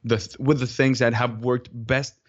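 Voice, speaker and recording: A man with a Spanish accent answering interview questions in a deliberate, measured way, pausing to search for words. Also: mid-range voice, level tone. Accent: Spanish-speaking. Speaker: interview guest, video creator. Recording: dull lo-fi call audio.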